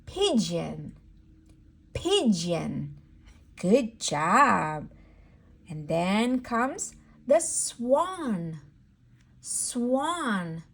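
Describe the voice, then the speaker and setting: A woman's voice: medium pitch, very animated, very slow, vocal fry, long pauses, slightly rough. A woman leading a read-aloud word lesson for toddlers, sing-song and encouraging, repeating words for a small child. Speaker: children's educator and host.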